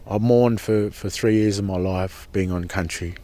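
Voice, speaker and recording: A man's voice: deep voice, fairly even intonation, normal speed, dark tone. A man giving an earnest, impassioned personal account as a protester, often repeating and restarting his words. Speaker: protester at a sacred site camp.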